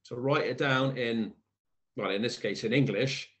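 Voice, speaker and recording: A man's voice: medium pitch, a little slow, a bit bright. Reflective man with a faint Welsh accent. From South Wales. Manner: thoughtful, hesitant, searching for words, many restarts. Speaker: poet guest. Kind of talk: reflective conversational interview.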